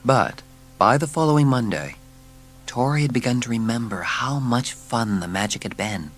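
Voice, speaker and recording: A man narrating a children's story as scripted audiobook, with measured, dramatic storytelling. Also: medium pitch, expressive, soft background music.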